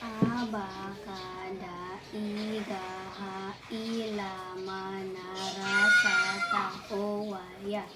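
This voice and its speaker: A woman playing a gentle, doting mother who patiently encourages her son in an amateur historical drama. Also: mid-range voice, little pitch movement, extremely slow. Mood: patient, proud. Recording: very noisy amateur recording.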